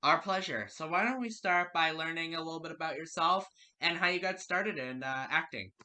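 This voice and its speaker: Male interview host. Strikingly bright, forward voice, a touch high. Courteous, formal delivery. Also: moderate pace. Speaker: radio show host and interviewer. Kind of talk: prepared interview questions.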